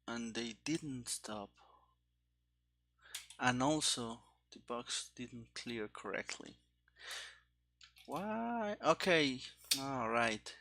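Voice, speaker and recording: A game developer thinking aloud while programming, speaking casually and haltingly, as if muttering to themself. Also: medium pitch, sharp tone. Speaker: game developer streaming their coding. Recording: keyboard clicks and faint background noise. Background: typing and mouse clicks.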